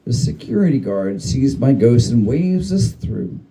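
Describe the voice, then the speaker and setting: A man giving a dramatic reading of a humorous spooky story, with theatrical, deliberate phrasing. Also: medium pitch, very animated, creaky, mellow, low-quality recording. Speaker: story reader.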